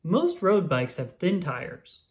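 Children's book narrator, scripted read-aloud, animated intonation, medium pitch, expressive delivery for kids. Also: takes it slowly.

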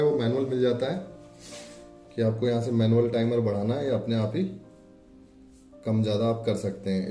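Low-pitched man giving a demo. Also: mellow. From India. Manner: instructional, addresses viewers as friends. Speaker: presenter of a product demonstration channel. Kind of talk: informal demonstration for video.

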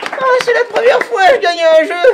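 A man voicing a naive little plush chick who competes in a quiz, in a squeaky, plaintive voice. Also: fairly quick, gravelly, heavy background noise. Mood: whiny, silly. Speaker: quiz contestant.

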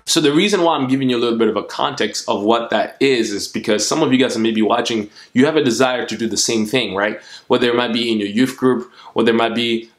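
A man's voice: mid-range voice, fast, few pauses. A man in his twenties from the Democratic Republic of Congo, speaking earnestly to camera as a motivational vlogger. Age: 20s. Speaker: YouTube host. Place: small furnished room at home.

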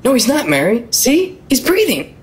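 A performer playing an excitable, curious boy of about twelve in a retro radio drama. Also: mid-range voice, normal speed. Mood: eager, curious.

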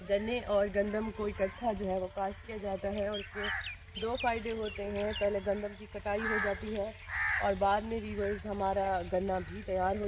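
A young woman from Pakistan with a slightly hoarse throat, chatting to viewers in a casual vlog while walking through fields and her village home outdoors. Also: mid-range voice. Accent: Punjab. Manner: chatty, addresses viewers often. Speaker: village vlogger. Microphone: phone camera held nearby. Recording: lo-fi phone audio with outdoor noise.

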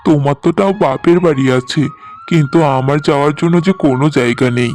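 A man's voice: fairly high pitch, fast talker, a little mellow, close to an everyday voice. A man playing a proud, wronged young husband in a cartoon story. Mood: pleading. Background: background music.

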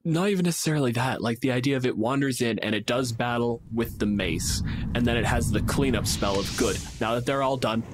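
A man's voice: mid-range voice, fairly quick, loud music behind the voice. A man co-hosting a casual tabletop gaming discussion, dramatizing his examples with acted asides. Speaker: podcast co-host and gamemaster.

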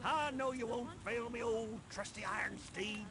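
A man's voice: extremely high pitch, steady tone, moderate pace. A man voicing a folksy, grumbling farm hand with a rustic manner in a video game cutscene. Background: game music.

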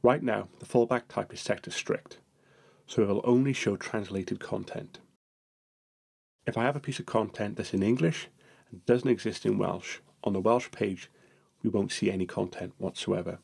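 A man narrating a software screencast tutorial, explaining each step in a steady manner. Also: fairly low pitch, normal speed, vocal fry, breathy edge.

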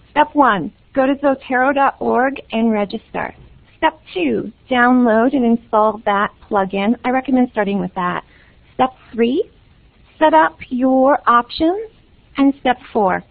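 A woman's voice: gravelly, dry recording. A woman librarian giving an upbeat, enthusiastic webinar presentation over a phone-like connection. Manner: upbeat, enthusiastic sales-pitch delivery.

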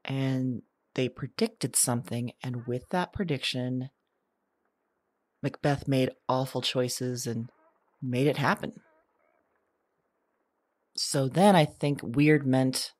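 A woman's voice: very deep voice, no room sound, exaggerated voice. A woman playing an anxious, searching modern woman in an audio drama, with a hesitant, confessional delivery. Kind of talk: audio drama monologue acting.

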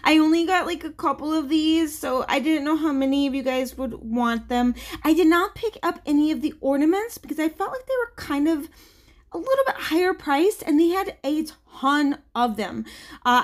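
Excitable woman gushing over a shopping haul. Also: high-pitched, dry recording, clean studio sound. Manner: gushing, exclamatory, quick bursts of excitement. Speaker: shopping vlogger. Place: parked car, then indoor retail store. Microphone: handheld phone camera.

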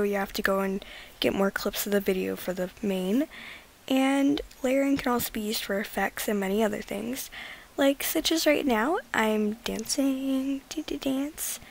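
A teenage girl with a raspy voice, rambling casually through an editing tutorial and slipping into whispers at times. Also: a little high, rough recording. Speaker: gaming video creator.

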